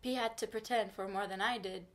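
A woman's voice: mid-range voice, steady tone, fairly quick, a bit bright. A young woman from Turkey, a native Turkish speaker, talking frankly to the camera from her small bedroom in a casual vlog. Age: young adult. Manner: earnest, frank, advice-giving. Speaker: vlogger. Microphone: camera in front at desk distance.

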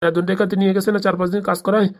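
A woman's voice: mid-range voice, flat delivery, very fast, lightly acted. A woman voicing a pleading, complaining older village uncle and henpecked husband in a comic cartoon. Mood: exasperated.

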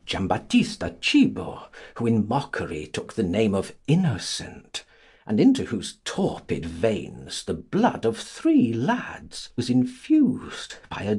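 A male audiobook narrator gives a theatrical reading of literary prose in a very husky, breathy-rough voice. His pitch rises and falls dramatically across phrases. He takes his time, delivering each clause slowly and expressively. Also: darkish tone.

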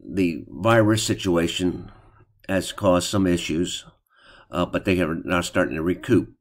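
An older man hosting his stock trading channel, talking through a screen-share in a plain, unhurried way with some restarts. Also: sits low. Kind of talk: casual screen-share commentary. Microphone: voice-over on screen recording.

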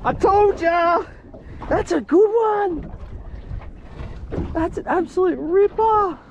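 An Australian woman chatting casually while fishing from a small boat outdoors, excitable and cheering, caught on an action camera. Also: very high-pitched, slow pace. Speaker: fishing vlogger. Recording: dull lo-fi sound with loud wind and water.

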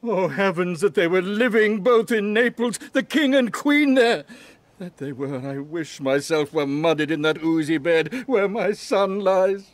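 Man acting slow, high-voiced grieving king. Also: lively intonation, no room sound. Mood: anguished. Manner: slow, emotional, theatrical. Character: grieving King of Naples, solemn bereaved father. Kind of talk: Shakespearean audio drama acting.

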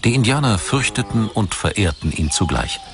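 Very deep-voiced male documentary narrator. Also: very animated, normal speed, noisy background. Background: music behind the voice.

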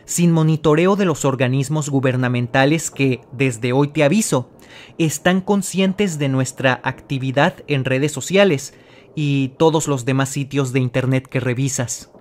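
A man's voice: mid-range voice, measured pace. A man narrating a mystery video from a script, speaking straight to the viewer in a dramatic tone. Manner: dramatic, addresses the viewer directly. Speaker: channel host narrator. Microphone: voice-over.

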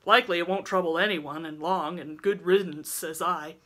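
A woman's voice: lowish voice, fairly even intonation, moderate pace, fairly bright tone. A woman narrator acts out a hard-drinking old country woman from a storybook. She is coarse and callous, and talks in blunt, truculent rustic dialect. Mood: callous, candid. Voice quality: grunting.

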